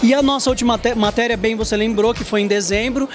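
A man's voice: extremely high pitch, steady tone, fast talker. Prominent music plays behind a Brazilian man in his thirties. He is a talkative TV host doing an interview on location, speaking informally and with emphasis into a handheld mic. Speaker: TV show host. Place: trade fair stand indoors. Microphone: handheld reporter microphone.

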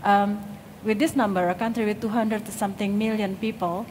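A woman from Indonesia talks through her slides at a conference in a relaxed, filler-laden way. Her voice sits in the middle of the range, and the hall adds a long reverb with faint ambience behind it. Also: mid-range voice, moderate pace, a little hiss or hum. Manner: informal, with frequent fillers. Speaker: panel speaker, designer and lecturer. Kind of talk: conference presentation.

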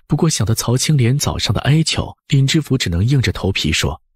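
一位男性有声书播讲人，用富有表现力的方式照稿讲述故事。. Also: male voice, medium pitch, very animated, a little fast, slightly rough, no echo, studio quality. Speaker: audiobook narrator. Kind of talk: expressive scripted story narration.

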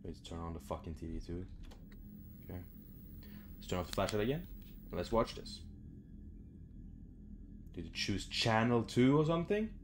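Low-voiced young man playing games. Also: expressive, normal speed, pauses often, background noise. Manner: sweary, muttering to himself. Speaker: gaming video host. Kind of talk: casual let's play commentary. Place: small room indoors at home.

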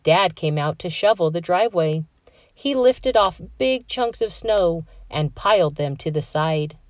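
Slow, low-pitched woman reading a storybook. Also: no room sound, clean studio sound. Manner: measured, expressive. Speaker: storybook reader. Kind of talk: children's read-aloud narration.

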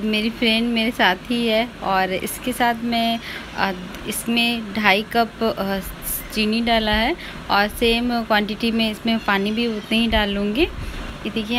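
A woman in her thirties from India, casually narrating her cooking in a home kitchen, pausing often with fillers as she explains each step. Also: on the high side, noisy background. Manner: chatty, explains steps with many pauses and fillers. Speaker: vlogger, home cook.

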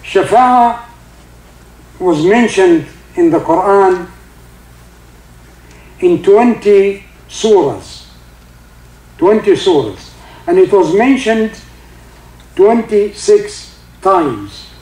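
An older man with a heavy Egyptian accent, a native Arabic speaker, giving an informal religious talk in halting English with many fillers and recited Arabic verses. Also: high-pitched, expressive, darkish tone, roomy sound, poor audio. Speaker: religious teacher. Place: indoor room with carpeted seating.

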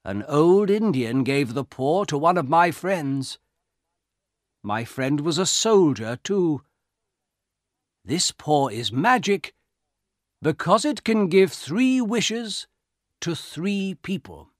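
Guy doing a solemn old soldier in a storybook, raised voice, real slow and emphatic, wary. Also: fairly high pitch, animated, dry recording, studio quality, pitched above the performer's own voice. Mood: solemn warning. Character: grave old soldier back from India, wary and warning.

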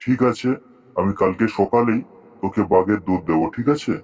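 A man's voice: on the low side, brisk, darkish tone, dull audio, close to an everyday voice. A man voicing a boastful, gullible tiger uncle in a cartoon, blustering and impatient. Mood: agreeing. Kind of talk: cartoon story dialogue.

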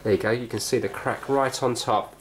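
A man talks casually through a repair as he works, explaining each step and thinking aloud. His voice sits at a middling pitch, recorded indoors at a workbench with noticeable background noise and clicks. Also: steady tone, fairly quick, a bit bright, low-quality recording. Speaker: host of a repair video. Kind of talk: casual hands-on narration while working.

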